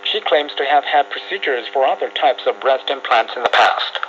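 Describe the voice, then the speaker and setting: A man's voice: mid-range voice, normal speed. A man reading scripted news narration as a radio reporter. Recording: muffled shortwave radio, steady hiss. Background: radio static and hum.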